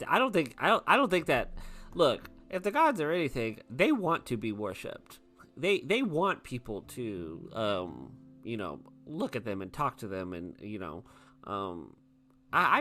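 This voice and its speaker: Tabletop game role: woman as mischievous, slangy adventurer, very animated pitch. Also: full character voice. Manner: casual, slangy, cheeky. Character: brash, irreverent, mischievous friend of a vampire. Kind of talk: improvised tabletop roleplay.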